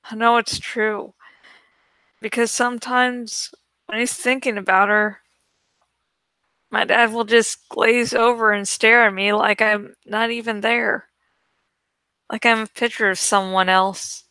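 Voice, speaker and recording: A woman reading a first-person novel aloud in an expressive, dramatic way. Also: a little high, takes it slowly, long pauses, clear, resonant tone, dry recording. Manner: expressive, acts out the story. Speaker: audiobook reader.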